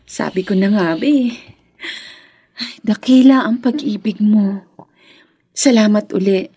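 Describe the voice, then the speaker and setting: An actress playing a caring, supportive young woman in a radio drama, husky and sympathetic. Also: mid-range voice, slow pace, mellow, dry recording. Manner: consoling, sympathetic. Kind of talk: Tagalog radio drama acting.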